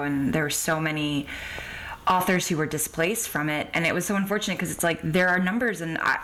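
Female interviewer for a podcast, notably low pitch, conversational delivery. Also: fairly bright tone, poor audio. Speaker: podcast host.

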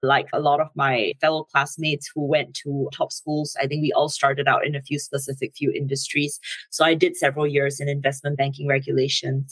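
A woman from Singapore in her thirties, a guest expert explaining things in a measured way in a podcast interview. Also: low-pitched, fairly bright tone, dry recording, rough recording. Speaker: guest expert, education director.

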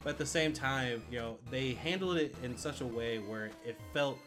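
A man's voice: mid-range voice, moderate pace, fairly bright tone, faint music behind. A man co-hosting a podcast in casual film discussion, chatty and loosely profane. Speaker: podcast co-host.

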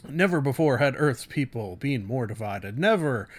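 Guy doing a super dramatic sci-fi blurb narrator, grave, halting, hammy emphasis, medium pitch. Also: animated, lightly acted. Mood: ominous, grandiose. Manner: theatrical, halting, heavily emphatic. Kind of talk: dramatic reading of book jacket copy.

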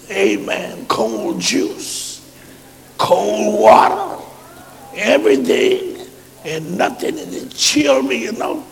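A hoarse man a sermon in a chanting style that builds into shouts. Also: sits high, big-room sound. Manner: chanted preaching rising to shouts. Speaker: preacher.

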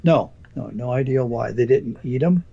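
A man's voice: medium pitch, moderate pace, gravelly, dark tone. A man hosting a casual conversation, asking questions while losing his voice. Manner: inquisitive, conversational. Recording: dull lo-fi sound.

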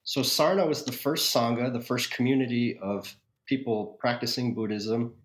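A man's voice: mid-range voice, fairly even intonation, normal speed. Echoey, wordy American man. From Hawaii, United States. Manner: wordy, erudite, wry. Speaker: podcast guest. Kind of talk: conversational interview, opinionated storytelling.

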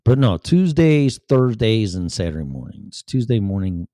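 A man's voice: fairly low pitch, sing-song, moderate pace, mellow, no echo, clean studio sound. A man with a Chicago accent hosting his live-stream show in a chatty, profane, self-deprecating ramble. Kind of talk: casual rambling monologue.

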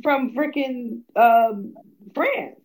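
A woman's voice: on the high side, drawn-out words, darkish tone, low-quality recording. A young adult woman talking candidly and bluntly in a casual conversation, with fillers and emphatic asides.

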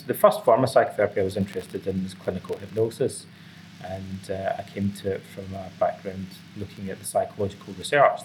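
Low-voiced Scottish man, noisy recording. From Scotland. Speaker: interview guest, author and psychotherapist. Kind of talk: conversational interview answers. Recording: clearly noisy background ambience.

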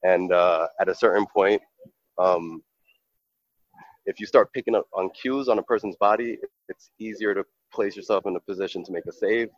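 A man's voice: deep voice, moderate pace, no echo, lo-fi sound. A man from New York, a professional goalkeeper, giving earnest, reflective interview answers full of fillers and restarts.

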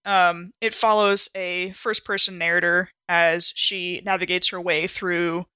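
A woman co-hosting a tabletop game podcast, chatty and quick to laugh, with a few verbal stumbles. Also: on the low side, flat delivery, bright, forceful tone, clear, resonant tone, dry recording, low-quality recording. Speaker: co-host and player. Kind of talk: casual podcast chat.

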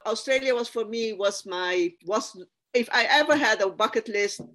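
A woman's voice: on the high side, normal speed, a bit bright. A woman from Cuba who grew up in Curacao, a native Spanish speaker, chatting as a podcast guest, hesitant with many fillers and restarts. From Cuba, raised in Curacao. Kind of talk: casual interview chat.

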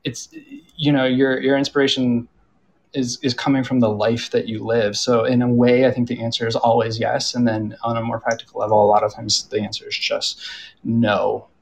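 A man's voice: medium pitch, flat delivery, normal speed, gravelly, husky, rough recording. A man moderating a casual panel discussion, talking in a self-deprecating way and repeating himself for emphasis. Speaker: panel moderator, audio drama creator.

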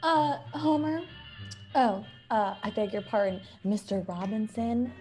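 A woman's voice: on the high side, expressive, measured pace, dark tone, faint music behind. A woman playing a domineering wife who mocks her husband with theatrical, drawling sarcasm in a radio play. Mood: mocking.

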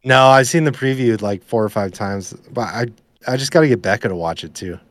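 A man's voice: medium pitch, fairly quick, no echo. An American man chatting casually in a blunt, slangy way with some swearing. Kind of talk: casual chat.

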